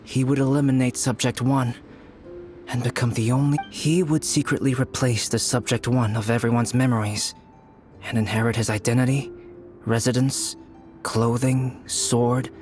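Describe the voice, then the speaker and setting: A thoughtful, reserved young alchemist from a game, played by a man tells his story in a calm, measured, soft-spoken voice at an ordinary pitch. Faint game music plays beneath him. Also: close to an everyday voice.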